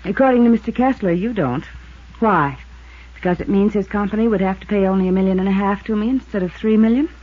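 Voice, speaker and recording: A woman's voice: medium pitch, quick pace, lightly acted. A woman playing a poised, evasive rancher's widow with an American accent in a 1950s radio drama. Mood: cool. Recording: dull, band-limited 1950s radio recording.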